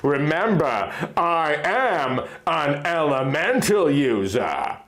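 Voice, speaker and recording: A man's voice: a little high, sing-song, slow pace, fairly bright tone, exaggerated voice. A man voicing a stubborn, devoted young heroine in a melodramatic, emphatic story reading. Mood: tender, proud.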